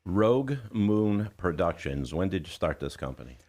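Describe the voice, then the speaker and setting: A man's voice: deep voice, animated, normal speed, a little mellow. A man hosting a music radio show, chatting informally with listeners and guests with a showman's patter. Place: radio studio.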